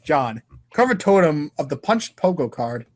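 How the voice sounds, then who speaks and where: A man's voice: fairly high pitch, sing-song, moderate pace. A man narrating an informal comic read-aloud show in a playful, theatrical manner. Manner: playful, theatrical, voices sound effects.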